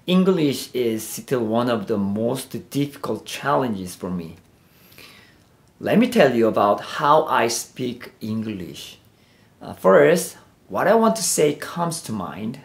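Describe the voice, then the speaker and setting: A man with a Korean accent preaches in English, his native tongue being Korean. He speaks slowly and deliberately, with a gravelly, creaky edge to his voice and an even, mid-range pitch. His delivery is measured and sounds carefully read. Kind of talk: sermon.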